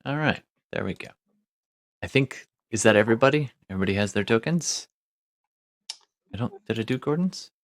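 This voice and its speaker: A man's voice: medium pitch, expressive, fairly quick, long pauses, dry recording, studio quality. A man chatting informally as game master of an online tabletop game, often muttering to himself as he works. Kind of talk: casual chat while running an online tabletop game.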